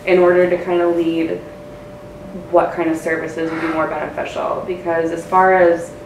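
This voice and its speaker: A woman's voice: lowish voice, level tone, normal speed, vocal fry. A young woman speaking spontaneously from the audience in a lecture room, picked up from a distance with echo. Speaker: audience member. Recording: distant, echoey room mic with noise.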